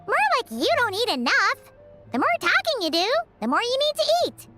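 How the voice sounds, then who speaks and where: A woman's voice: extremely high pitch, wildly swooping pitch, normal speed, loud music behind the voice, heavily acted. A woman voicing a small, chirpy, talkative fairy companion with a childlike manner in a video game. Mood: curious, chatty. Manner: refers to herself by name in third person.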